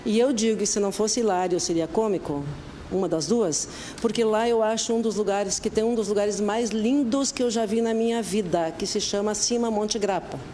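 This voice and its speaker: A woman, an honorary vice-consul, giving interview answers and explaining steps carefully, spelling details out slowly. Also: mid-range voice, warm tone, a little hiss or hum. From Brazil.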